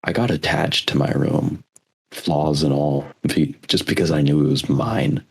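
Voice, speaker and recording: Very deep, gravelly young man chatting. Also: steady tone, measured pace, darkish tone. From United States. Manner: rambling, reflective, with frequent restarts. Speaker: podcast co-host. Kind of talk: casual chat.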